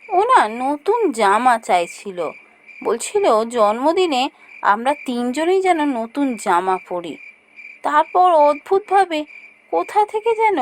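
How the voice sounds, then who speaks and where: A woman voicing a practical, fretful village mother in a cartoon, with an exclamatory, expressive delivery. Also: sits high, slight background noise. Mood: confiding. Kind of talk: Bengali fairy-tale cartoon dialogue.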